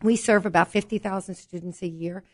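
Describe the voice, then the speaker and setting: A woman's voice: lowish voice, steady tone, brisk. A woman from Brooklyn with a New York accent, a guest on a talk show, explaining her nonprofit in an upbeat way and often restarting her sentences. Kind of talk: casual chat.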